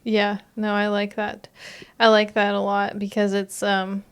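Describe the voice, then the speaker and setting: A young woman co-hosting a podcast, talking in a reflective, soft-spoken way with many fillers. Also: medium pitch, completely flat delivery, a little slow, clear, resonant tone. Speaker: podcast co-host. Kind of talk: casual chat.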